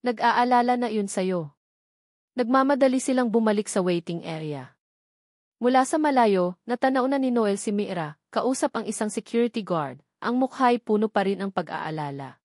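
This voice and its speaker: A woman's voice: mid-range voice, expressive, moderate pace, darkish tone, no room sound, studio quality. A woman reading scripted dramatic narration as an audiobook narrator.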